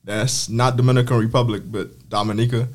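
A young man from the Caribbean chats in a relaxed, easygoing way as a podcast guest. He laughs easily and tells his story plainly, with a voice of middle pitch. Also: a little fast. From Caribbean, Saint Croix. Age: young adult. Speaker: guest, campus barber. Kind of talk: casual podcast chat.

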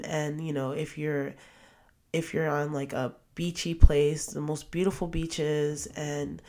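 Young adult woman podcast host with a cold, low and gravelly voice, loose rambling delivery. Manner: chatty, rambling, many fillers and restarts. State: sniffly from a cold. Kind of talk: casual solo podcast monologue.

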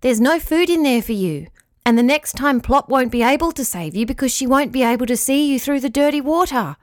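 A woman's voice: high-pitched, expressive, a little fast, dry recording, clean studio sound, voice pushed up. A woman voicing Shaggles, a kindly but firm male creature who looks after the fish. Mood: stern. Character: gentle, caring male water creature, friend to fish.

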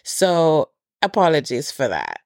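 A woman's voice: low-pitched, wildly swooping pitch, slow, no echo, clean studio sound. A middle-aged woman hosting a podcast, sounding nasal as she gets over a cold, talking earnestly to her listeners with a few restarts. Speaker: podcast host. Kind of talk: casual holiday message to listeners.

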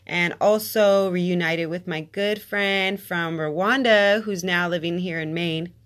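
A woman's voice: on the low side, steady tone, takes it slowly, a bit bright. An American woman hosting her podcast, chatty, upbeat and playful, laughing easily. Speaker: podcast host. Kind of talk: casual podcast chat.

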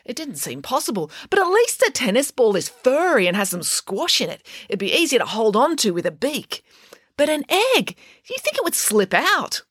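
A woman voicing an excitable, indignant middle-aged mother who tells tall tales in an audiobook, with dramatic emphasis. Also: a little high, moderate pace, slightly rough, no echo, studio quality, voice pushed up, exaggerated voice. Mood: insistent. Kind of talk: audiobook character dialogue.

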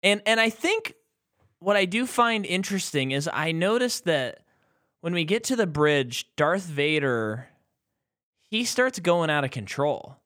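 A man's voice: fairly high pitch, sing-song, a little slow, fairly bright tone. A man co-hosting a podcast, chatting casually about a movie and acting out quotes with animation. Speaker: podcast co-host.

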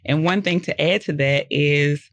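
A woman host and minister with a soft voice, chatting gently in a faith-based interview. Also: low-pitched, unhurried. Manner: gentle, country-style talk with frequent affirmations.

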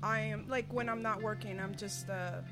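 A woman's voice: medium pitch, steady tone, normal speed, over music. A woman in her thirties with a Venezuelan Spanish accent, a native Spanish speaker, chatting as an interview guest in a friendly, hesitant way. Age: 30s. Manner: friendly, hesitant, restarts sentences. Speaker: professional dominatrix guest. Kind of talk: casual interview chat.

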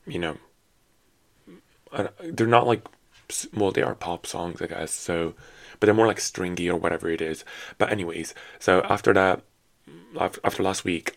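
A young man with a very deep voice, raspy and rough from a night out, rambles through a casual solo podcast with lots of fillers and restarts. The sound is dry and close, with no room around it. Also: dry recording. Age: 20s. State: raspy, low voice after a night of drinking. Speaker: podcast host.

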